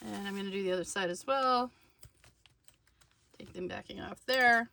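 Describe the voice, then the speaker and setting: Bright-voiced woman narrating a craft tutorial. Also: medium pitch, moderate pace, vocal fry. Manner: informal, thinking aloud while working.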